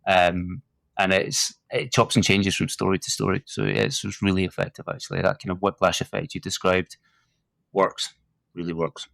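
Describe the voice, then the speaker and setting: A man's voice: low-pitched, fairly even intonation, moderate pace, gravelly, a bit bright, no echo. A middle-aged man hosting a podcast interview, chatty and encouraging, with plenty of fillers. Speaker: podcast host. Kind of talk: casual interview chat.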